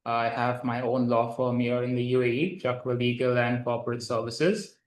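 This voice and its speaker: Male lawyer, monotone and matter-of-fact, roomy echo. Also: mid-range voice, normal speed. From grew up in India. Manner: steady, matter-of-fact, with occasional fillers. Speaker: lawyer, guest. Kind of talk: explanatory interview answers. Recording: echoey room.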